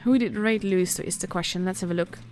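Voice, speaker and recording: A woman's voice: lowish voice, quick pace, a little mellow, faint music behind. A woman with a Dutch accent giving casual, chatty live commentary on a game as a stream host. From Netherlands. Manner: chatty, informal, reacting as play unfolds.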